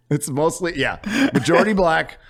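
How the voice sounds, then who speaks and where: A middle-aged man from California telling a story as a podcast guest, animated and profane, laughing as he goes. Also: on the high side, measured pace, a loud crowd. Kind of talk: casual storytelling.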